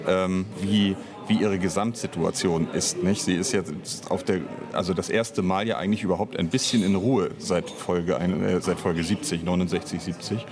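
A man's voice: sits low, fairly even intonation, moderate pace, hardly any pauses, crowd noise. A man speaking as an interview guest in casual conversation, searching for words as he explains at length. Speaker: interview guest, audio drama author and director.

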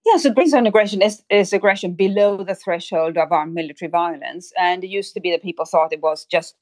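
A woman's voice: lowish voice, fairly quick, a little mellow, dry recording. A woman from Sweden giving analytical, explanatory interview answers as an expert guest, rephrasing as she goes.

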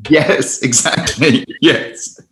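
A talkative man with a mid-range voice tells stories about life as a stage performer. He restarts his sentences often and keeps a lively, conversational flow. His voice carries a noticeable room echo. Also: medium pitch, very slow, slight background noise. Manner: chatty, many restarts, lively storytelling. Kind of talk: conversational interview.

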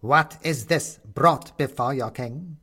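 A man voicing a small plastic goblin king figurine, grating and gleeful, chanting slowly in rhyme. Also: medium pitch, pushed and bright, breathy edge, exaggerated voice. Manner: slow sing-song rhyming chant.

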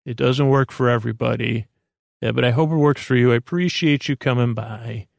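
A man with a creaky voice hosting a sleep podcast in a meandering, halting monologue, often interrupting himself. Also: medium pitch, fairly even intonation, no room sound, studio quality.

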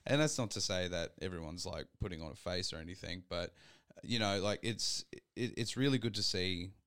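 Man, young, podcast host; low, smooth voice, loose rambling delivery. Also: fairly quick, fairly bright tone. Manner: rambling, many fillers, seeks agreement. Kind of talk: casual podcast chat.

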